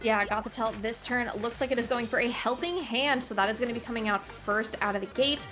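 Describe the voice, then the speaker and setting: A woman doing play-by-play commentary on a competitive match, brisk and analytical, now and then correcting herself. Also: fairly high pitch, fairly even intonation. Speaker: esports commentator. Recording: music playing under the voice. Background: background music.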